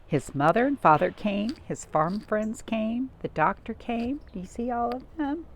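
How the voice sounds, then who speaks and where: A woman's voice: a little high, lively intonation, a little slow, a little hiss or hum. A woman hosting a children's storytime, speaking in simple, repeated phrases and addressing young listeners directly. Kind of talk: read-aloud storytelling and craft talk for young children.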